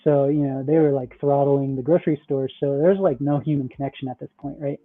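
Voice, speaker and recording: A man's voice: medium pitch, steady tone, brisk. A man talking as a podcast guest in casual conversation, rambling with lots of fillers and tag questions. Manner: rambling, many "like", "you know" and "right?". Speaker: guest, metaverse project founder. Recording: tinny phone-like call audio.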